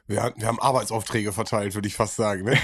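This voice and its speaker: Male podcast co-host, hesitant, lots of fillers, lower voice, dry sound. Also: steady tone, quick pace, husky, no echo. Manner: hesitant, filler-heavy, playful. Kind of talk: casual podcast chat.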